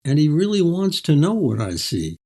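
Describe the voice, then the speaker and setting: An older man speaking as an author, reflective and articulate, with measured, literary phrasing. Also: fairly high pitch, wildly swooping pitch, warm tone, slightly rough. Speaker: author, interview guest. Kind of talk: reflective storytelling, reading and interview.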